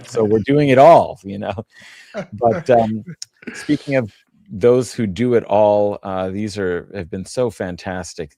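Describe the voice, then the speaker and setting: A man's voice: lowish voice, mellow, no echo. A man hosting a livestream panel in casual, chatty talk full of fillers, who gets enthusiastic. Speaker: host.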